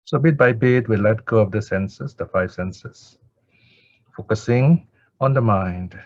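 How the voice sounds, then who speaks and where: A man speaking as a meditation teacher in measured short phrases with many pauses, guiding or chanting. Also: lowish voice, a little mellow, no room sound. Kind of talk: slow guided meditation instruction and Pali chanting. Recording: dull lo-fi audio.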